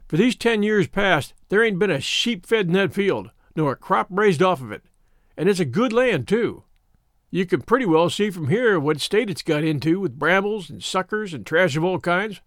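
A man's voice: high-pitched, no room sound, clean studio sound. A man voicing a grave, superstitious old shepherd with rustic English dialect, in a storybook reading. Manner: dialect speech, solemn warnings.

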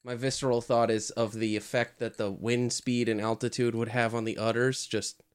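A player cracking wry, deadpan jokes during a tabletop game. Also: mid-range voice, moderate pace, smooth. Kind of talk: casual banter at a game table.

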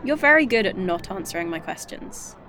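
A woman's voice: medium pitch, sing-song, brisk, pushed and bright. An actress playing a blunt, joking and curious woman in the 1980s, in an indie audio drama.

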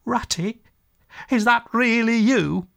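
A man's voice: a little high, moderate pace, fairly bright tone, voice pushed up, natural voice. A man voicing a small, timid, weary male mole in a storybook, plaintive and polite. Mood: feeble, frightened. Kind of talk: storybook character voice.

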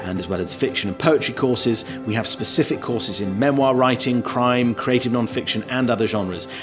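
Man, podcast host, scripted read, clear guitar music beneath the voice. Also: mid-range voice, normal speed. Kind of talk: scripted announcements. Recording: guitar music playing underneath.

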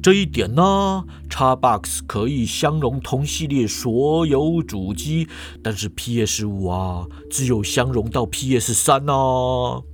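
A man voicing a calm, know-it-all male professor who lectures in a matter-of-fact way. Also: medium pitch, takes it slowly. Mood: lecturing.

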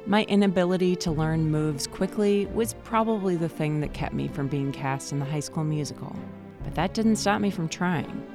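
A woman in her forties, hosting a podcast and narrating a personal story in a calm, reflective way. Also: low voice, normal speed, over music. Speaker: podcast host.

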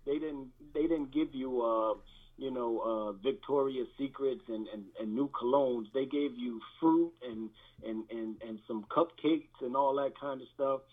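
Man, guest pastor, phone-like narrow sound, average pitch, halting reflective delivery, candid personal testimony. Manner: halting, reflective, repeats words. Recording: thin, phone-like band-limited audio.

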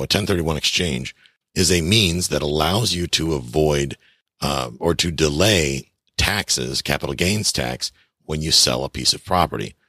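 A man's voice: very deep voice, bright, forceful tone, husky, no echo, studio quality. A man in his fifties hosting a podcast, giving blunt, chatty advice on real estate and taxes straight to his listeners. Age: 50s. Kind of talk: casual advice monologue.

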